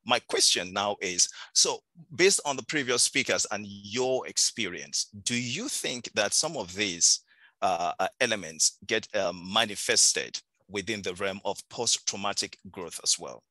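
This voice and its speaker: Bright, low-voiced male moderator. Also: expressive, measured pace, no echo. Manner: recaps speakers, hesitant with uh fillers. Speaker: host, moderator. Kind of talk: moderating an online panel. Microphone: video call.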